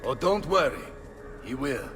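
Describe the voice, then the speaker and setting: An actor playing a bold, hot-blooded Italian mercenary captain in a video game, with an Italian accent and blustery, dramatic delivery. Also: male voice, mid-range voice, lively intonation, unhurried, lightly acted. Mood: confident. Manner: blustery, dramatic, swinging in emphasis. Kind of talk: video game cutscene acting. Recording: long reverb, music behind.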